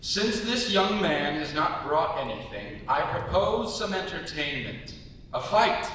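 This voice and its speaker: A man's voice: lively intonation, a little slow, a bit bright. A man playing a tipsy, domineering middle-aged king in a stage reading. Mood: boisterous, demanding. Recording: echoey hall, far mic, faint rumble.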